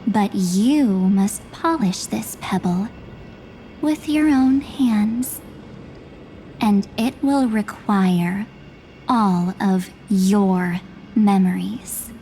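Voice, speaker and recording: Slow, solemn enigmatic young woman. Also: mid-range voice, dark tone, a little hiss or hum, rough recording. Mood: cryptic, solemn. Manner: slow, deliberate, portentous delivery. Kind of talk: dramatic video game voice acting. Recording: under clear background music.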